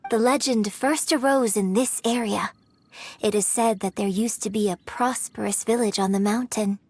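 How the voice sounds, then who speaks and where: An actress voicing a young woman opera singer, telling a legend in measured, poetic narration in a video game. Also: medium pitch, fairly even intonation, darkish tone, slightly rough, dry recording. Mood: storytelling.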